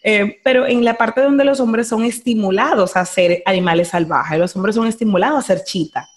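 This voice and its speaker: A young Dominican woman with a Dominican Spanish accent, hosting a podcast in animated casual chat, slipping into English phrases. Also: medium pitch, normal speed. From Dominican Republic. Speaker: podcast host.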